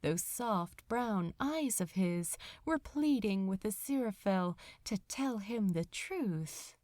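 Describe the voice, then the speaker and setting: Slow, expressive woman narrator in a dry room. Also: mid-range voice, no room sound. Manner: slow, expressive, dramatic storytelling. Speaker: audiobook narrator. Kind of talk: scripted fiction narration.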